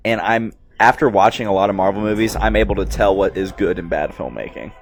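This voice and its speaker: A man's voice: on the low side, brisk. A man giving casual, enthusiastic, sweary reaction commentary on a film as its host. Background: movie music.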